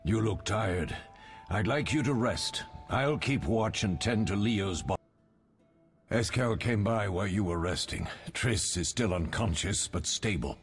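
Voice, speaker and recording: An actor playing a weary, fatherly older warrior mentor in a fantasy game, measured and grave. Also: on the low side, animated, husky, faint music behind, full character voice. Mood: steady, resolved.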